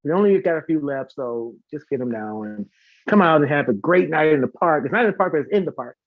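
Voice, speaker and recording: Chatty guy guest talking really fast, effusive n rambly, kinda dull lofi sound, no room echo. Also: on the high side, expressive. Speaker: guest, singer and concert organiser. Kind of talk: casual live chat, talkative promotion.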